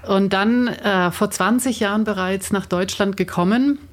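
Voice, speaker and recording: Radiomoderatorin mit mittlerer Stimmlage, tastend formulierend. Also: female voice, medium pitch, unhurried, slight background noise. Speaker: radio host interviewing a studio guest. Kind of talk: radio interview, semi-spontaneous moderation. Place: radio studio. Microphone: studio microphone.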